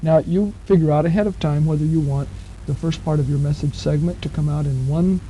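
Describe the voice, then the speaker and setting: A man with a very mellow, dark voice pitched a little high narrates instructions step by step. He is plain-spoken and patient as he explains. The sound is that of a muffled 1970s cassette tape with faint hiss. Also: a little fast. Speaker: instructor narrating a program manual.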